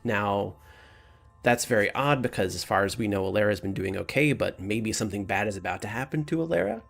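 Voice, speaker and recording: A man improvising narration as a game master in a halting way, rephrasing as he goes. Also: on the low side, creaky, a bit bright.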